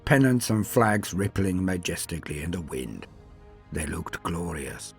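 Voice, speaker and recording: Deep, husky man narrating with theatrical swells. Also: a little mellow. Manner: theatrical, measured, solemn delivery with emphatic swells and falling endings. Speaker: narrator and host of a fan fiction lore channel. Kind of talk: dramatic scripted storytelling narration. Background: music.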